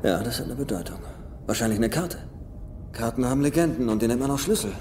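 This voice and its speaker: A man's voice: medium pitch, a little hiss or hum, close to an everyday voice. A man acting in a film dub as a brainy, principled treasure hunter who thinks aloud. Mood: pondering. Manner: thinking aloud, halting then excited. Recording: long hall reverb under film music.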